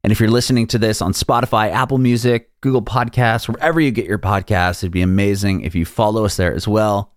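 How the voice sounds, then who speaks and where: A young male podcast host with a fairly low voice and an easygoing, slangy way of talking. The sound is dry and close, with almost no room reflection. He moves between a read-out intro and relaxed conversation. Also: fast, hardly any pauses, dry recording. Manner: laid-back, uses casual slang. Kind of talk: read intro, then casual interview chat.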